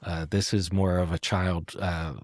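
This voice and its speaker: A man's voice: deep voice, little pitch movement, a little slow, darkish tone, dry recording, studio quality. A middle-aged man hosting a reflective poetry podcast conversation, musing in sentences that often trail off. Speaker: podcast host.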